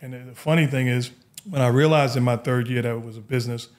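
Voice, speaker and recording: A middle-aged man with a New York accent, hosting a casual podcast chat and telling stories in a relaxed, reflective way from a high-rise studio on a boom mic. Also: quick pace. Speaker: podcast host. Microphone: studio mic on boom arm.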